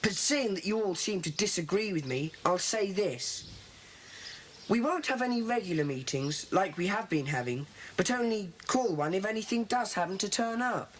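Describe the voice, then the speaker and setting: A woman playing a bossy, impatient schoolboy leader of a secret club in a children's audio drama, speaking in a brisk, commanding way. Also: mid-range voice, expressive, natural voice. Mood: firm. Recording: faintly noisy old cassette.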